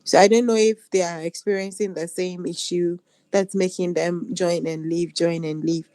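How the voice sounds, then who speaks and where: A woman's voice: fairly low pitch, steady tone, normal speed, mellow, no room sound. A young woman with a Ghanaian accent makes spontaneous remarks in a formal online meeting. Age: young adult. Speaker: member of a youth parliament. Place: online video call.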